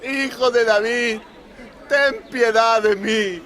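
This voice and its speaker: A man's voice: extremely high pitch, fairly even intonation, takes it slowly, sharp tone, heavily acted. An actor playing a blind beggar man who cries out desperately for mercy in a dramatized Bible recording. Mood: desperate pleading. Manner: pleading, crying out. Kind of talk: dramatized Bible audio acting.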